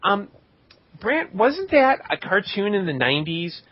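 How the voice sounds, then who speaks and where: A man hosting a podcast in a casual, tongue-in-cheek way as he reads out listener shout-outs. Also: sits high, very animated, a little slow, slightly rough. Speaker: podcast host. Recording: dull lo-fi voice recording.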